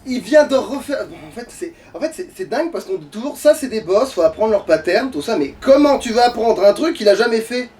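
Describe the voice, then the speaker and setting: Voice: mid-range voice, lively intonation, a little fast, hardly any pauses, dark tone. A gamer giving casual, sweary, grumbling commentary while playing. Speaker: let's play streamer. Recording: distant mic with game music behind. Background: video game music.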